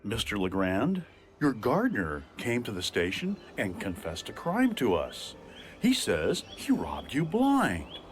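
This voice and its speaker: Formal, very animated police officer. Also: male voice, on the low side, measured pace, soft background music. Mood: official. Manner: official, declarative. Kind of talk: video game cutscene acting.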